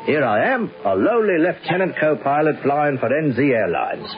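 Breezy young co-pilot, lively higher voice. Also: male voice, moderate pace. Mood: matter-of-fact. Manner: hearty, colloquial, teasing. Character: friendly, breezy young South Pacific airline co-pilot. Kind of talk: radio drama acting. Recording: dull old radio broadcast, music behind.

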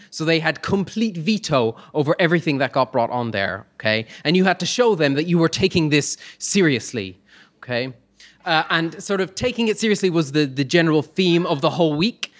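A man telling a humorous personal anecdote live on stage, in a conversational, hesitant manner with comic pauses. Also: a little high. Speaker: storyteller on stage.

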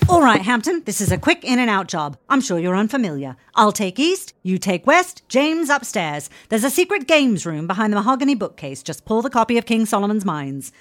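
An actress playing an exasperated, sharp-tongued, lovelorn Victorian woman in an audio fiction comedy, sarcastic and sweary. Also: mid-range voice, big pitch swings, fairly quick, hardly any pauses, a little hiss or hum, close to an everyday voice. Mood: wry, impatient.

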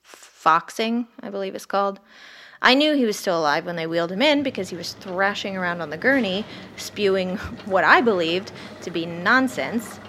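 A woman's voice: mid-range voice, expressive, normal speed, a bit bright, slight background noise, close to an everyday voice. A woman playing a wry, haunted, sleepless ER doctor who narrates a horror audio drama in the first person. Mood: brooding, uneasy. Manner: wry, brooding, literary. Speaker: narrator and lead character.

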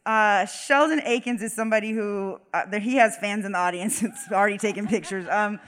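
A woman's voice: medium pitch, a little fast, a bit bright. A woman welcoming a live audience and introducing guests in an upbeat, chatty way. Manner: upbeat, chatty, laughing asides. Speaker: event co-host, emcee. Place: live event hall. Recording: echoey hall.

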